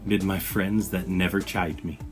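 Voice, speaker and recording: A man giving a dramatic poetry reading with measured, expressive phrasing. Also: deep voice, music in the background. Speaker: poetry reader.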